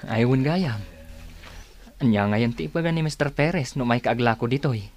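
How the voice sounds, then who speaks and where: A man's voice: medium pitch, normal speed, a little mellow, close to an everyday voice. A man playing a helpful, practical fruit trader in an Ilocano radio drama. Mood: reassuring.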